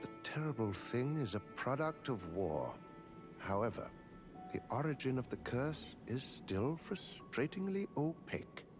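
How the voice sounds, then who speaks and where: An actor playing a courteous, scholarly keeper of cursed lore in a fantasy video game, speaking in formal, measured, archaic phrases. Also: male voice, mid-range voice, big pitch swings, music in the background. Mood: grave, intrigued. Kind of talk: scripted fantasy game dialogue.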